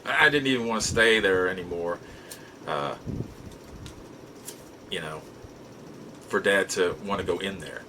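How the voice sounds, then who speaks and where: A man's voice: low-pitched, unhurried, long pauses, background noise. A middle-aged man casually telling personal stories as a show host, rambling in a folksy way and often rephrasing himself. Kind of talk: casual personal storytelling to camera.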